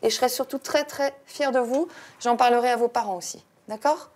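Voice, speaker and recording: A woman teaching a primary class, calmly questioning a pupil and giving instructions. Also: fairly high pitch, a little fast. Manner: calm, questioning, patient with a pupil.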